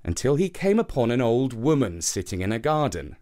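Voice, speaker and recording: A man narrating a scripted children's story in a theatrical, expressive way. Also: medium pitch, fairly quick.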